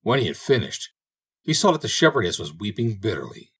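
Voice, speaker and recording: A man narrates a fairy tale in a fairly low, husky voice. His delivery is expressive and dramatic, with pitch that moves widely from phrase to phrase. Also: a little fast. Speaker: audiobook reader.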